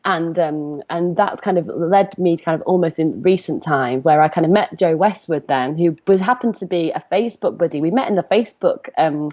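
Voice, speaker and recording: A woman in her early thirties speaks in a slightly low voice with a Northern English accent. She talks as an interview guest, chatty and animated, telling stories from her own life in a conversational way. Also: fairly quick, hardly any pauses. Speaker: interview guest, comparison coach. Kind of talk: conversational interview, personal storytelling.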